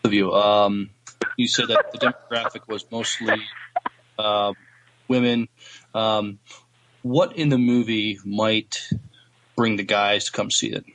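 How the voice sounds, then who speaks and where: Slow-spoken, low-voiced man. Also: fairly even intonation, a bit bright, rough recording. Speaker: podcast panelist asking questions. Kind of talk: casual chat.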